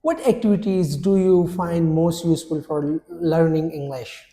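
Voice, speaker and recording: Formal male examiner, warm low tone. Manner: formal, measured questioning. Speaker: speaking test examiner. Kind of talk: scripted exam questions.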